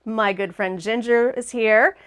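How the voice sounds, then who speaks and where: A woman's voice: on the high side, fairly even intonation, measured pace, clear, resonant tone. Sniffly, chatty woman hosting a livestream. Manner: chatty and upbeat, laughs easily. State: sniffly, congested from allergies. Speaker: host. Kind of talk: casual livestream chat.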